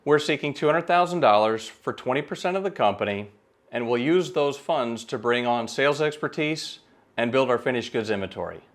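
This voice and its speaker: A man in his forties, an engineer and manager, speaking in a measured, businesslike way for a pitch video. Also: lively intonation. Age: 40s. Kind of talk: pitch video talk to camera.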